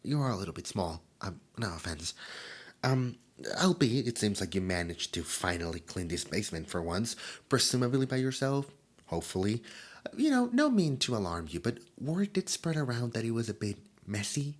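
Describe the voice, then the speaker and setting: A man's voice: on the low side, sing-song, moderate pace. A man voicing a chatty, awkward immortal demon who summons time, rambling with many ums and asides and sometimes dropping to a whisper, in an ASMR roleplay. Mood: friendly. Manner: rambling and self-conscious, full of ums and asides; drops into soft whispers at times.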